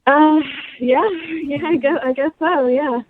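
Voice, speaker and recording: A woman's voice: high-pitched, a little slow, a little hiss or hum. A woman calling in by phone, chatting casually in a hesitant, sheepish way. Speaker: phone-in caller. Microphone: telephone. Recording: muffled lo-fi phone line.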